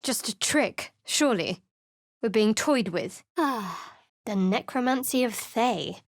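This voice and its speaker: Game role: a sardonic guarded young cleric, fairly high voice, dry close studio sound. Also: female voice, animated, takes it slowly, no room sound, heavily acted. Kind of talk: video game character voice lines. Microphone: close studio mic.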